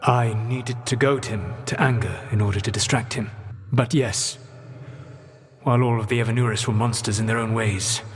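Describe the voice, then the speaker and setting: A man playing an ancient elven trickster god for a video game, proud, brooding and regretful, with measured, formal, eloquent diction and a husky voice. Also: medium pitch, steady tone, natural voice. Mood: grave, reflective. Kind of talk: video game dialogue acting. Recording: long hall-like reverb.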